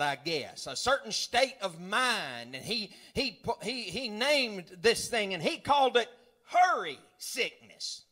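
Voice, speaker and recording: A middle-aged preacher man with a strikingly high-pitched voice. He talks in a folksy, rambling way, repeating words as he gathers steam, and scatters hallelujahs and amens throughout as he builds to shouting. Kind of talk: sermon.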